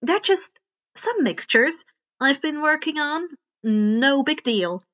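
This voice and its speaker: A woman's voice: sits high, lively intonation, takes it slowly, a bit bright, full character voice. A woman voicing a cocky but kind teenage boy vigilante in a read-aloud story, casual and flippant, in a muffled recording. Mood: scoffing, defensive. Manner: flippant, matter-of-fact, casual. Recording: dull, muffled lo-fi home recording.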